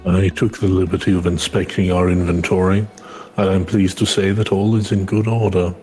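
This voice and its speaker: A man playing a calm, gracious knight in a video game, speaking formally and politely. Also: sits low, moderate pace, faint music behind, natural voice. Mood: pleased.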